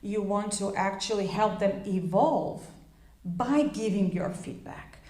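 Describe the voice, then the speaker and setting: A woman whose first language is Croatian talks through an English lesson in a loose, chatty way, often restarting her sentences and leaning on fillers. Her voice sits at a middle pitch. The room around her gives a noticeable echo. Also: takes it slowly, darkish tone. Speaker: English teacher. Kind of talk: informal spoken lesson.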